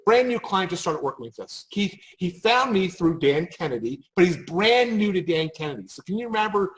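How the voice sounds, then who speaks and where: This is a man with a fairly high voice talking in an unscripted panel. He speaks as a marketing expert, making emphatic points through anecdotes and often doubling back to restart a phrase. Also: very animated, fairly quick, a bit bright, rough recording.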